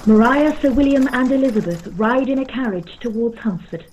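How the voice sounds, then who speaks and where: A woman with a husky voice reading scripted narration for a radio play. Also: fairly high pitch, lively intonation, fairly quick, a little mellow, a little hiss or hum. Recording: dull, lo-fi sound.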